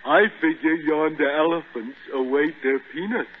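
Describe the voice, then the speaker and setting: An actor playing a pompous, lazy glutton obsessed with food, speaking in flowery, mock-learned phrases on 1930s radio. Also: male voice, a little high, normal speed, natural voice. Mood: dry, lofty. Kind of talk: 1930s radio comedy acting. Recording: noisy, tinny 1930s radio recording.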